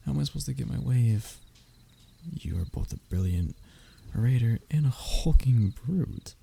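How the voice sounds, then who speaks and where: Man acting a very mellow, flustered young royal heir. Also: mid-range voice, animated, moderate pace, vocal fry, a little hiss or hum. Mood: indignant, stubborn. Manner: pleading, flowery wording with flustered stammers. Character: young human royal heir, flustered, stubborn, scheming, lovestruck captive. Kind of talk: audio roleplay acting, addressing the listener closely.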